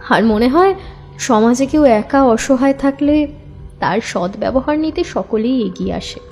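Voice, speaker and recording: A woman's voice: fairly high pitch, a little slow, darkish tone, faint music behind. A woman acting a weary young woman confiding her troubles in an intimate, plaintive audio story monologue. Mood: troubled.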